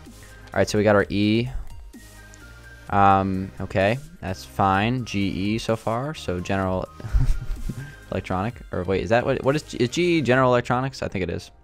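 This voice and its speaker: Low-voiced young man, slightly raspy. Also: moderate pace, faint music behind. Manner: thinking aloud, hesitant, many fillers. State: sore throat. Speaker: gaming channel host. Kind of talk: casual gameplay commentary.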